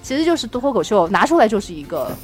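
Very high-voiced, animated woman chatting. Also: fast, soft background music. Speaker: podcast host. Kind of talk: casual chat.

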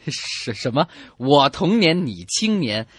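A woman's voice: low-pitched, takes it slowly, breathy edge, no echo. Female comedy radio co-host, very varied intonation, playful joke-teller, dry studio. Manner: animated, teasing, breaks into song. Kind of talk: playful scripted joke-telling banter.

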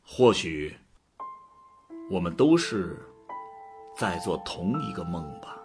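An actor playing God as a calm, hopeful, philosophical creator in a radio drama, with a husky, breathy voice. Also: male voice, low voice, music in the background, full character voice. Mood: wistful. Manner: gentle, philosophical, unhurried.

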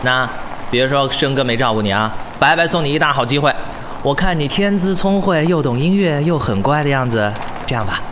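An actor in a radio drama playing a worldly, wistful older-brother figure with a teasing, nostalgic manner. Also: male voice, mid-range voice, expressive, brisk, natural voice. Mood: worldly, patronizing. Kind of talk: Chinese radio drama acting. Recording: noisy urban vehicle ambience. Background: traffic or vehicle noise.

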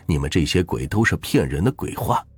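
A man voicing a frail dying old woman in a spoken ghost story, talking slowly in a trailing elderly way. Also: low voice, big pitch swings, breathy edge, no room sound, heavily acted. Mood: scolding.

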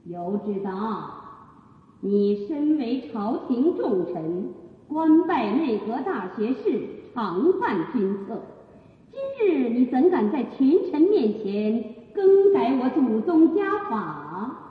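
A woman's voice: fairly high pitch, big pitch swings, slow pace. A woman playing a haughty, vindictive empress in a radio drama, with an imperious, theatrical delivery. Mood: imperious, angry. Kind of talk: Chinese radio drama acting. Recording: echoey hall from far off, dull.

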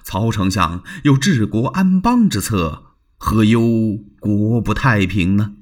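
A man's voice: lowish voice, lively intonation, slow pace. A man playing a wary, courteous lord. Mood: evasive. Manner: polite, guarded, placating. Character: cautious, courteous male lord, evasive and wary.

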